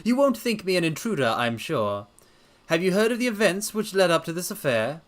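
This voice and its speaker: A man performs a brisk gentleman detective from a storybook mystery. His voice is pitched high and swings up and down with animation. He sounds assured, methodical and courteous. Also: sing-song. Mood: politely inquisitive. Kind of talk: dramatic reading of a detective story.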